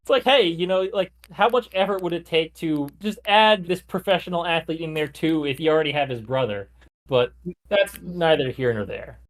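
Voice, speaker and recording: A man's voice: fairly high pitch, normal speed. A man hosting a podcast, casually walking his co-hosts through a story he researched, with plenty of loose "like" fillers. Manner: loose, chatty, frequent "like" fillers. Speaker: podcast host.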